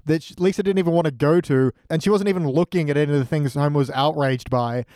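Exasperated higher-voiced man in podcast chat. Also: fast, darkish tone, no echo, clean studio sound. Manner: exasperated, incredulous, blunt. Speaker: podcast guest. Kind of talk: casual review chat.

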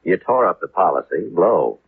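A low, gravel-throated American tough guy in an old radio crime drama. He is cynical and menacingly casual, swinging in pitch through terse slang. The sound is narrow, aged broadcast audio. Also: very animated, normal speed, exaggerated voice. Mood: cold menace. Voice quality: gravelly, raspy. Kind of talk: 1950s radio crime acting. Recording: muffled, narrow-band vintage 1950s radio.

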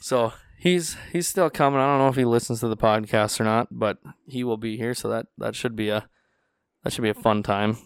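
Lively North Dakota man hosting a podcast. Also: mid-range voice, a little fast, clear, resonant tone, no echo, studio quality. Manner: animated, acts out quoted voices. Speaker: podcast host. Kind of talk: casual storytelling chat. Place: small studio. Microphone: studio podcast mic.

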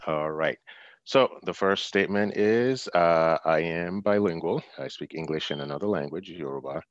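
A middle-aged man with an extremely deep voice. He talks thoughtfully, with lots of fillers and restarts, as a guest in a casual interview. The recording is very dry and a little dull. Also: no echo. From Nigeria and the US.

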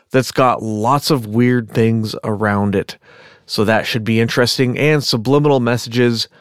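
A man of ordinary pitch hosts a podcast while a little under the weather, clearing his throat between thoughts. He rambles in a chatty way, correcting himself and talking to his live chat. The sound is dry and close on the microphone. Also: dry recording. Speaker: podcast host. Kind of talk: casual storytelling podcast on unexplained history.

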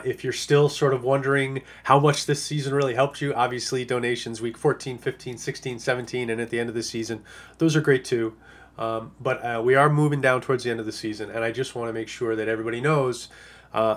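A man talks through his picks in a loose, unscripted podcast monologue, his hoarse voice a little shot. He rambles and stacks fillers as he goes, at a pitch that sits in the ordinary middle for a man. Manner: rambling, lots of uh and you know. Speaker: fantasy football analyst.

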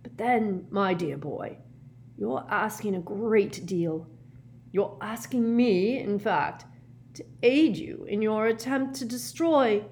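A woman voicing a tearful, tipsy, frightened old male professor in a storybook, halting and genteel. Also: mid-range voice, a little mellow, a little hiss or hum, pitched above the performer's own voice. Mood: frightened, ashamed.

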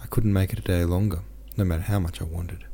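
A man's voice: sits low, steady tone, fast, dark tone. A man reading as a heavy-hearted young merchant.

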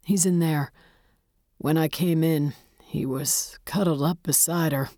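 A woman as a shaken, low-voiced policeman. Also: steady tone, a little slow, mellow, no room sound, clean studio sound. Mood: subdued, factual. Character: shaken male police officer briefing a detective.

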